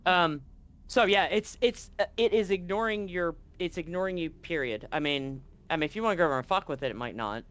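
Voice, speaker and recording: A game master narrating a tabletop roleplaying game in a casual, halting way, often correcting course mid-sentence. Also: medium pitch, a bit bright.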